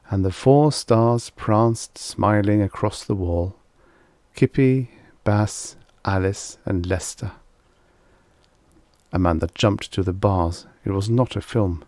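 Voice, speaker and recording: Guy narrating an old sci-fi story, lowish creaky voice, really dry recording. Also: takes it slowly, darkish tone, no room sound. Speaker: audiobook narrator and host. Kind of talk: scripted narration of vintage science fiction stories.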